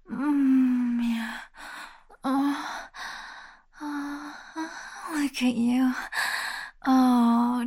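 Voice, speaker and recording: A woman performing an intimate audio roleplay, speaking mostly in a soft, commanding whisper. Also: sits high.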